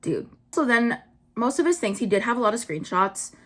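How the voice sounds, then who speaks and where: A young woman doing casual commentary, blunt and full of "like" fillers, her pitch jumping up when she gets worked up. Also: very animated, normal speed. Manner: blunt, swears, many "like" fillers. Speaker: vlogger.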